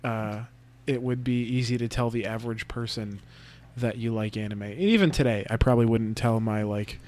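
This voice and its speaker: A man's voice: mid-range voice, normal speed, a little mellow. A man in his twenties co-hosting a podcast, chatting casually with lots of fillers and crude jokes. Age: 20s. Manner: laid-back, filler-heavy, crude joking. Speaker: podcast co-host. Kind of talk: casual chat.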